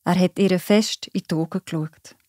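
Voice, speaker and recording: A woman's voice: fairly low pitch, fairly even intonation, moderate pace, dark tone, no echo, studio quality. A woman with a Swiss German accent narrating a scripted children's story.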